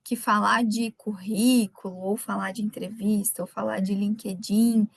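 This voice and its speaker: A woman's voice: mid-range voice, fairly even intonation, measured pace, creaky, no room sound. A woman from southern Brazil with a light Gaúcho accent, hosting a live webinar from a computer mic and chatting with viewers. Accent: light Gaúcho Brazilian Portuguese. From Rio Grande do Sul, Brazil. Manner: chatty, warm greetings to viewers by name. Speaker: webinar speaker.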